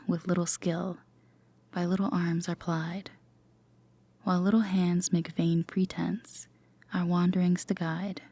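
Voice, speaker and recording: A woman playing a gentle, teasing, affectionate girlfriend in an intimate sleep-aid roleplay, with a soft, breathy half-whisper and a tender manner. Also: on the low side, no echo. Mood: soothing, storytelling. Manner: hesitant, tender, gently playful.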